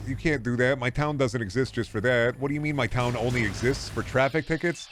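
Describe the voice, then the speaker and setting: A man's voice: mid-range voice, fairly quick, fairly bright tone, faint music behind. A man from Iowa with an American accent chatting casually on a livestream, rambling and sardonic, repeating words for emphasis. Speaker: game streamer.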